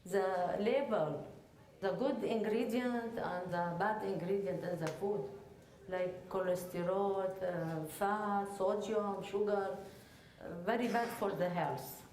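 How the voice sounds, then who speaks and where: A woman from Syria, speaking haltingly in simple phrases in a video interview. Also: mid-range voice, a little mellow. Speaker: program peer facilitator. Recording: roomy with faint background noise.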